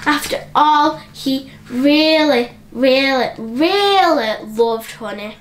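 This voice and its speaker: High-pitched, very slow woman storyteller. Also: a little hiss or hum. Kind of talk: children's bedtime story narration.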